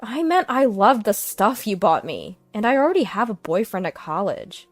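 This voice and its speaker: A woman's voice: medium pitch, wildly swooping pitch, moderate pace, no room sound, soft background music. Brief: shameless young woman, flippant and offhand, voiced by a woman narrator. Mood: dismissive.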